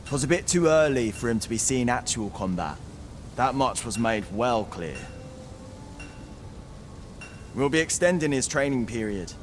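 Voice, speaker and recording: A man's voice: mid-range voice, lively intonation, moderate pace, a little hiss or hum, lightly acted. A man playing a dutiful, earnest town soldier in a fantasy game, speaking courteous archaic English. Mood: relieved.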